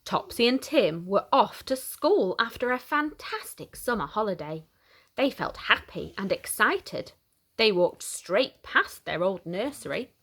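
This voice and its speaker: A woman reading a children's picture book aloud in an animated, expressive way for young listeners. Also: mid-range voice, measured pace, husky. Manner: animated, simple questioning aimed at small children. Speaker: children's story reader.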